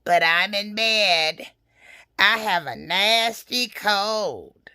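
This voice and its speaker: A sly storybook wolf pretending to be an old granny as a woman acts him out. The scratchy, coaxing voice wavers between high and low, unhurried. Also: expressive, bright, forceful tone, smooth, no echo, clean studio sound, heavily acted. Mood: feigning illness. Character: sly male wolf posing as ailing old grandmother.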